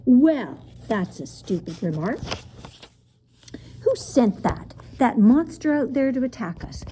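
A fierce, emotional young boy in a children's tale, played by a woman reading aloud. He speaks with indignant intensity, his mellow voice rising and falling widely. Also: medium pitch, animated, normal speed, a little hiss or hum, lightly acted. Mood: angry. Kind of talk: children's storybook character reading.

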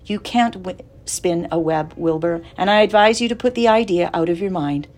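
A woman voicing a wise, calm female spider with a gentle, patient, matter-of-fact manner. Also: lowish voice, normal speed, natural voice.

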